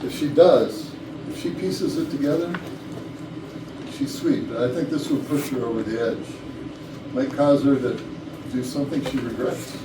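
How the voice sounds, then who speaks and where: A man's voice: mid-range voice, moderate pace, pauses often, close to an everyday voice. A man playing an anxious, bossy and suspicious company boss near retirement in a staged reading of a comedy. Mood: confiding, uneasy. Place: rehearsal room. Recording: noisy echoey room, distant mic.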